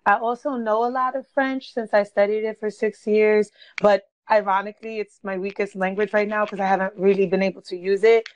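A woman's voice: medium pitch, steady tone, fairly quick, few pauses. A woman from Brooklyn, a chatty guest on a podcast who laughs often and says "like" a lot. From Brooklyn, New York. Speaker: guest, blogger. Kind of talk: casual podcast interview. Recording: dull, dry lo-fi sound.

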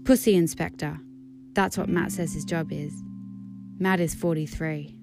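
An Australian woman giving a dramatic poetry reading in a deliberate, deadpan manner. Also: sits low, vocal fry. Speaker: guest poetry reader. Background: plucked guitar music.

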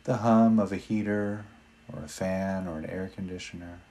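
A man leading a guided meditation in a gentle, unhurried manner with long pauses between phrases. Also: low-pitched, fairly even intonation.

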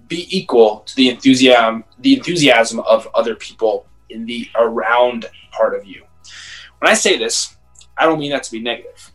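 A man at a middle pitch speaks earnestly about success in a casual podcast. He stresses his points with emphatic, measured delivery. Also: a bit bright, low-quality recording. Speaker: podcast co-host. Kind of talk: casual motivational chat.

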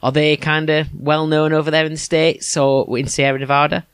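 A man's voice: on the high side, little pitch movement, normal speed, a bit bright, clear, resonant tone, dry recording. Male podcast host, Yorkshire accent, chatty and chuckling, dry close sound. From England. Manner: chatty, chuckling, with many restarts. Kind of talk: casual interview chat.

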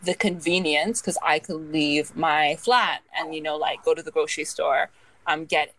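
A woman in her twenties talks through her thoughts on a casual podcast, with a voice that sits a little low. She rambles and scatters "like" through nearly every sentence. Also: steady tone, normal speed, a bit bright, dry recording, low-quality recording. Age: 20s. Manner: rambling, leans heavily on "like". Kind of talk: casual chat.

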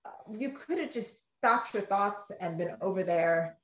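A woman guest on a podcast, talking in a loose, animated, rambling way and acting out the things people say to her. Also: mid-range voice, measured pace. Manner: animated, rambling, acts out quoted exchanges. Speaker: romance author guest. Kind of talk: casual podcast chat. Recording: roomy echoey room sound.

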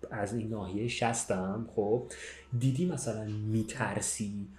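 A man's voice: lowish voice, darkish tone. A man telling a story casually in conversation, checking in with the listener as he goes. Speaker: podcast speaker telling a story. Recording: roomy, echoing sound.

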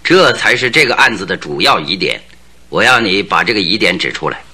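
An actor playing a shrewd, confident detective in a Chinese radio drama, speaking in a theatrical, probing and needling manner. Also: male voice, deep voice, very animated, fairly quick, pushed and bright, husky, full character voice. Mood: probing, assured.

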